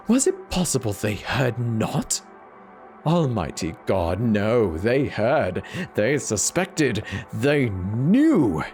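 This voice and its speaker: A man voicing a guilt-racked, paranoid murderer in a theatrical, deliberate dramatic horror reading with drawn-out pauses. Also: fairly low pitch, darkish tone. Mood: frantic, tormented. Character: guilt-racked madman murderer confessing in growing paranoid agitation. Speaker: storyteller.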